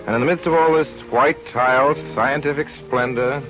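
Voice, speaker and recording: An actor playing an earnest, self-doubting young research doctor, in a 1930s radio drama. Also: male voice, mid-range voice, brisk, music in the background, lightly acted. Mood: reflective. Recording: dull old radio recording.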